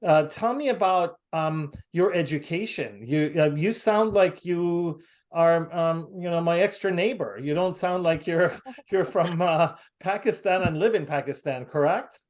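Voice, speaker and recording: Halting American man hosting a call. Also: on the high side, a little mellow. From United States. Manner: halting, many fillers and restarts. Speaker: host. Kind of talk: casual video call chat. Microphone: video call.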